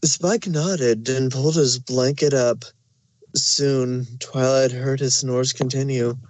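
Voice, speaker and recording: Male narrator for fan fiction audio: mid pitch, scripted storytelling, very dry recording. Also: fairly even intonation, slow, a little mellow, no room sound.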